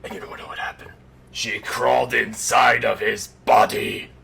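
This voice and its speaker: A man voicing a bitter, unhinged undead killer animatronic father telling an ASMR bedtime story, gravelly and theatrical, moving between menacing murmurs and outraged outbursts. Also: mid-range voice, flat delivery, normal speed, sharp tone. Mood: bitter recounting.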